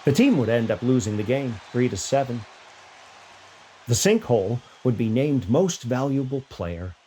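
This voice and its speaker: A man's voice: medium pitch, big pitch swings, moderate pace, mellow, slight background noise. A man narrating a scripted short story as a literary reader. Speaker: fiction reader. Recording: music bed behind voice.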